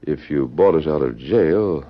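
A man acting a shrewd, calm masked cowboy hero in an old-time radio western, with a measured, authoritative delivery. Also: extremely low pitch, exaggerated voice. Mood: calm, probing. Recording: muffled, noisy vintage radio recording.

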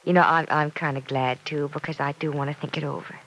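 The young American heroine of an afternoon radio serial, confiding haltingly and earnestly at the kitchen table about love and her own doubts. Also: low-pitched, steady tone, fairly bright tone, rough recording. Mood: hesitant, thoughtful. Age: young adult. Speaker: radio drama actress. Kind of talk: old-time radio soap opera acting. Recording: vintage radio transcription.

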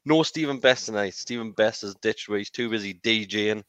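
A man's voice: fairly low pitch, brisk, a bit bright, smooth, no echo, muffled recording. A man hosting a football podcast in a rambling, casual way, laughing easily. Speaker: podcast host. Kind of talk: casual chat.

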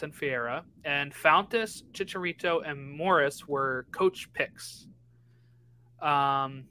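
A man co-hosting a casual soccer podcast, somewhat halting and correcting himself as he reads things out. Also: on the high side, bright, forceful tone. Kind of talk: casual sports chat, reading out a roster.

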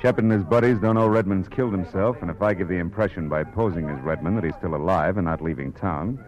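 An American actor playing a tough, wry private detective in a 1940s radio drama, with a clipped, sardonic delivery. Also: male voice, low voice, quick pace, a little mellow, lightly acted, poor audio. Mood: wary, considering. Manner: hard-boiled, clipped, sardonic. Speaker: lead actor and first-person narrator. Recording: old radio broadcast with music behind.

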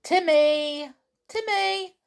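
A woman voicing a bold, adventurous tomboyish girl who calls out loudly and eagerly. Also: lowish voice, big pitch swings, unhurried, exaggerated voice. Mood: calling. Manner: loud, eager calling.

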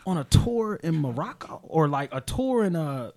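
Young guy hosting, tells stories loud and animated, does voices for everyone, high and jumpy. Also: normal speed. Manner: animated, acts out quotes in different voices. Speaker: podcast host. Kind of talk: casual storytelling chat.

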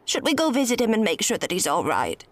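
An actress playing a nosy, kindly older woman who worries aloud in quick strings of questions. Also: fairly high pitch, huge pitch swings. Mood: worried. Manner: fretful rapid questions. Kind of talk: audio drama acting.

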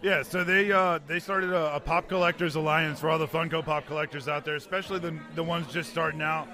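A man with a slightly high voice chats casually as an interview guest. He is recorded on a handheld recorder in a bar, with music clearly audible behind him. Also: steady tone, a little fast, pushed and bright. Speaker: interview guest, toy collector. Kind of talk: casual conversational storytelling. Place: bar in New York.

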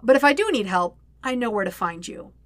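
A woman's voice: a little high, expressive, brisk, dry recording, lightly acted. Casting: woman to play a kind but flustered teen boy for story narration, slangy and hesitant, with swooping intonation. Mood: reassuring. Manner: rambling, hesitant, casual slangy talk. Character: flustered, rambling, awkward teenage boy, sarcastic and kind.

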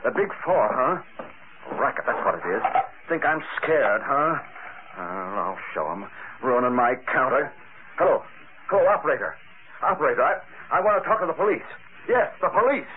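A man playing an ordinary, stubborn neighborhood storekeeper in a vintage radio play. He talks like a plain working man, in a middling pitch, over a very noisy, thin broadcast recording. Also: big pitch swings, normal speed, narrow, tinny audio, close to an everyday voice. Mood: indignant, shaken. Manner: ordinary working-man talk, indignant when pushed. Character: stubborn, plain-spoken small drugstore owner, adult man. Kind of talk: 1940s radio drama acting.

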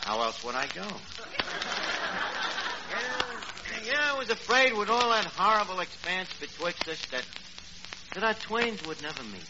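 A man's voice: sits high, sing-song, measured pace, long pauses. Brash New York tavern wise guy. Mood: boastful. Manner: wisecracking, bumbling malapropisms. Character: brash, boastful Brooklyn tavern manager wooing an old flame. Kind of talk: 1940s radio comedy acting. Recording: noisy, muffled old radio broadcast.

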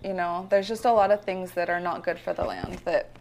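A woman's voice: fairly low pitch, steady tone, brisk, gravelly, darkish tone, a little hiss or hum. A woman from California talking casually about her life and art in an interview, rambling with many fillers and restarts. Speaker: visionary artist guest. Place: her home.

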